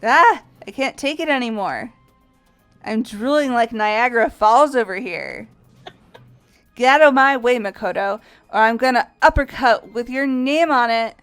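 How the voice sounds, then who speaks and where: Sporty teen girl played by a woman, high clean voice, emphatic and gushing, visual novel game, music underneath. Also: lively intonation, a little slow, a bit bright, smooth. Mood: frantic. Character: energetic, athletic, donut-obsessed teenage girl.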